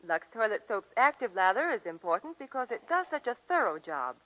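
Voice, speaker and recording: A woman's voice: a little high, big pitch swings, fairly quick. An actress playing an eager, chirpy young woman in a scripted 1940s radio commercial. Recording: muffled vintage 1940s radio broadcast.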